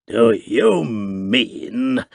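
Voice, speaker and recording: A man voicing a stiff old colonel with a raucous, harsh voice and a slow, rigid delivery. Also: medium pitch, animated, creaky, dry recording, studio quality, full character voice. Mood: grim.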